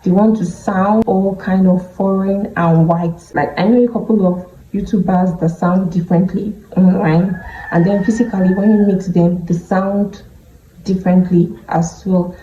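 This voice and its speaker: A woman's voice: mid-range voice, steady tone, warm tone, slight background noise. A woman with a Nigerian accent vlogging casually to a phone camera, speaking candidly and stretching words for emphasis. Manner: candid, drawn-out emphatic phrasing. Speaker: beauty vlogger. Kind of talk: casual vlog talking to camera. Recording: dull, echoey room recording.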